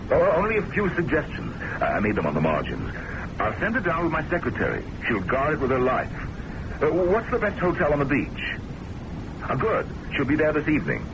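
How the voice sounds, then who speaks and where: An actor in an old-time radio drama playing a suave, self-important, middle-aged academic boss, wordy and patronizing. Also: male voice, mid-range voice. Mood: genial, brisk. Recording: noisy old radio broadcast recording.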